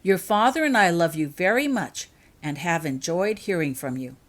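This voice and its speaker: A woman's voice: fairly low pitch, expressive, normal speed. A woman voicing a caring, tactful mother in a storybook reading. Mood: careful, tender. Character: caring, tactful mother writing to her child.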